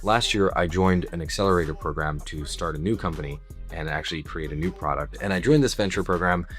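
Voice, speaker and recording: A man's voice: low-pitched, fast talker, a bit bright, soft background music. A young man from Singapore talking candidly in a casual vlog, rambling and reflective about his plans. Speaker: vlogger and founder.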